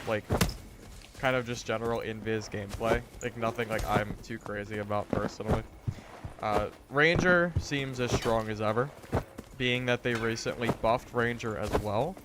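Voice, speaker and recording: A man's voice: medium pitch, noisy background. Worn-voiced man chatting on a stream. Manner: rambling, relaxed, greets chat viewers. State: recovering from illness, voice a bit worn. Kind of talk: casual gaming stream chat.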